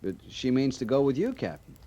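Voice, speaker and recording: An actor playing an awkward, polite young cavalry lieutenant in a radio western, speaking hesitantly and earnestly. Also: male voice, medium pitch, wildly swooping pitch, fairly quick, mellow. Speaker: junior cavalry officer. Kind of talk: old-time radio western acting. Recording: noisy old radio recording.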